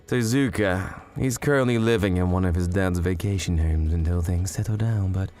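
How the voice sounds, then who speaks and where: A man's voice: deep voice, normal speed, darkish tone, faint music behind, close to an everyday voice. A man playing a casual, matter-of-fact underworld informant explaining what he knows in a manga drama.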